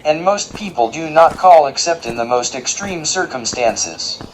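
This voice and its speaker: A man playing a polite, literal-minded robot guide in an audio drama, speaking in a formal, precise and deadpan way. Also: a little high, measured pace, slight background noise, low-quality recording. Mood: factual, deadpan.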